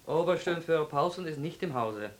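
An actor in a radio drama playing a curt, officious German guard at a Nazi office, clipped and official. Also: male voice, fairly high pitch, animated, moderate pace, lightly acted.

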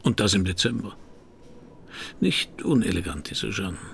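A man playing a weary, wry private detective in a noir radio drama, speaking tersely and dryly with a gravelly voice. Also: lowish voice, measured pace, a bit bright, slight background noise, full character voice. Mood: wry, brooding. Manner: terse, laconic, dry. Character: weary, wry male private detective narrating his case.

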